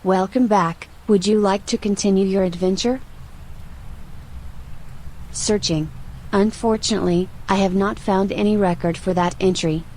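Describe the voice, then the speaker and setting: A woman voicing a polite, watchful archive AI in an audio roleplay, speaking in a formal, measured, robotic manner. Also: medium pitch, fairly even intonation, a little mellow, lightly acted, low-quality recording. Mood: polite, detached. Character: female-voiced archive AI, polite, composed, coolly watchful.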